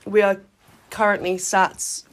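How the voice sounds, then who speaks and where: A woman in her late thirties from Britain, hoarse with a cold, chatting casually as a podcast co-host and often restarting her sentences. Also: medium pitch, little pitch movement, takes it slowly, slight background noise. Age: late 30s. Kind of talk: casual chat. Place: sitting on the floor in a home play fort.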